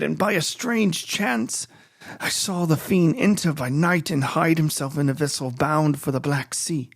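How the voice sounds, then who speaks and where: A man's voice: a little high, dry recording, clean studio sound. A man voicing a tormented, obsessed man recounting his vengeful pursuit in solemn, dramatic audiobook narration of a gothic novel. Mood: grim, haunted. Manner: solemn, literary, measured phrasing with dramatic emphasis.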